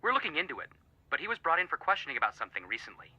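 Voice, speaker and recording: An actor playing an earnest, excitable male detective friend with a punning streak in a video game, dramatic, heard through a phone filter. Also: a little high, racing, slightly rough, dry recording, highs cut off, heavily acted. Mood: serious.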